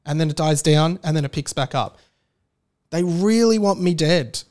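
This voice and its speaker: A man's voice: on the high side, moderate pace, a little mellow. A man hosting a casual, comedic solo podcast, rambling bluntly and swearing freely. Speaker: podcast host.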